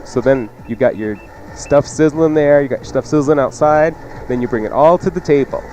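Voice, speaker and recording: A man's voice: on the high side, moderate pace, a little mellow, slight background noise, poor audio. A man hosting a cooking show with casual, joking, teasing instructions.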